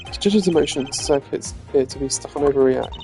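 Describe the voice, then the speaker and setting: A man voicing a composed, dry-witted young prosecutor in a courtroom video game, formal, measured and sardonic. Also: medium pitch, warm tone, faint music behind, lightly acted. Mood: thoughtful. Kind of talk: game character voice-acting.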